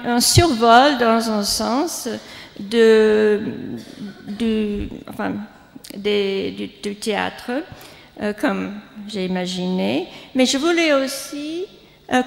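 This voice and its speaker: Older woman, hesitant, echoing hall. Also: a little high. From United States. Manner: hesitant, many fillers and restarts. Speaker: guest speaker, professor. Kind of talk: academic lecture. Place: lecture hall. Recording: long reverb of a hall.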